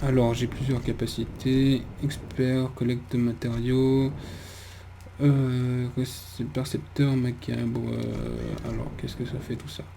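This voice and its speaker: A man's voice: medium pitch, level tone, slow, vocal fry. A man giving casual video game commentary, thinking aloud in a hesitant way. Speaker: video game let's player. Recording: faint background noise.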